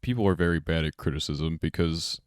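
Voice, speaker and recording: A man's voice: very deep voice, brisk, clean tone, no echo, clean studio sound. A young man hosting a casual podcast, laid-back and loose, with lots of fillers and chuckles. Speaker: podcast host.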